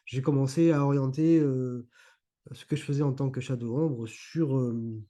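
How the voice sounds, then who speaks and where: A man's voice: medium pitch, steady tone, moderate pace, dark tone. A middle-aged man from Provence, a guest talking casually in an interview, hesitant with many fillers and restarts. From Provence, France. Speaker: guest, YouTuber on esotericism.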